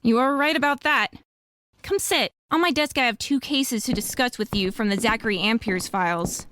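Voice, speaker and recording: Woman acting a female wolf lawyer, highish, earnest, admiring, articulate, dry recording, radio drama. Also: moderate pace, a bit bright, no room sound, lightly acted. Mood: earnest, confiding.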